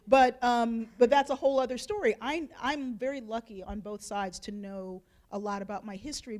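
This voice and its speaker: A woman's voice: mid-range voice, a little mellow. A woman moderating a panel discussion, talking chattily with lots of "you know" and "like". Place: lecture hall event.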